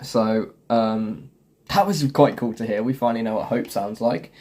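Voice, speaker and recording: A man's voice: on the low side, normal speed, a little mellow. A man hosting a gaming video with casual gameplay commentary. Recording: voice-over with music and game vehicle sounds. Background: clear music and game engine noise.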